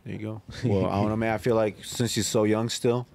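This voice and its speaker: A man's voice: on the low side, steady tone, brisk, vocal fry, darkish tone. An American man co-hosting a podcast in casual slang, repeating his points for emphasis. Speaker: podcast co-host. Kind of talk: casual group chat.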